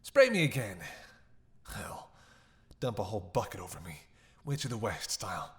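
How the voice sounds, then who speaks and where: A man's voice: fairly low pitch, very animated, normal speed. A man playing a snarky, defiant captive vampire in a roleplay audio drama, sarcastic, teasing and theatrical, with a drawl. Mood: irritated, defiant.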